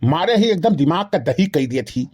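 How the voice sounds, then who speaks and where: A man's voice: on the high side, animated, normal speed, a little mellow, slightly rough. A man voicing an exasperated, skinny henpecked village husband in a comedy cartoon, grumbling repetitively with an Awadhi accent. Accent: Awadhi, eastern Uttar Pradesh. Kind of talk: rustic comedy cartoon dialogue.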